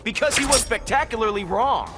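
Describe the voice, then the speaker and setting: An actor playing a cocky young fighter in a video game, sarcastic and flippant. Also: male voice, very high-pitched, brisk, heavy background noise. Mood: snide.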